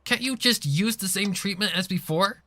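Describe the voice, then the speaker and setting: A brash young mare in a story reading, played by a man. The voice is pitched up and blunt, pressing with challenging questions. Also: moderate pace, sharp tone. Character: brash, questioning young female cartoon pony.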